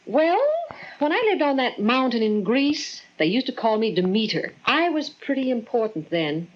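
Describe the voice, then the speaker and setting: An old radio drama figure: a kindly, folksy old snow goddess. The voice sits high and swings up and down with indulgent, grandmotherly cheer. The recording is dull and band-limited, in 1940s style. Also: animated, normal speed. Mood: chuckling, folksy.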